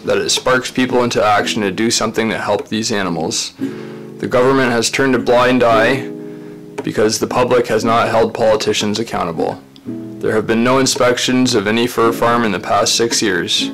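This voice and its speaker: Earnest man narrating over soft guitar. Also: mid-range voice, fairly even intonation, poor audio. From Ontario, Canada. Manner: earnest, measured delivery in short listed phrases. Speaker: animal rights activist. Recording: voice-over with faint music behind. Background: faint guitar music.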